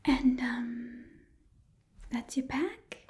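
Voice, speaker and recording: A woman's voice: fairly high pitch, drawn-out words, darkish tone. Woman playing a whispering, chatty goblin shopkeeper. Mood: chatty, pleased. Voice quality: breathy whisper. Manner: casual, rambling, hard-selling patter. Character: chatty, shady, cheerful goblin pawn shop keeper. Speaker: ASMR role-play performer. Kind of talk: whispered ASMR shopkeeper role-play.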